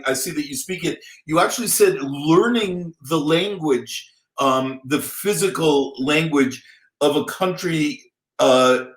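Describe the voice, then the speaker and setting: A man hosts an interview and asks his questions in a hesitant, searching way, with plenty of ums. His voice sits a little high for a man and rings in a roomy space. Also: fairly bright tone. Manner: hesitant, with many ums and uhs. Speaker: interviewer, show host. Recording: roomy echo.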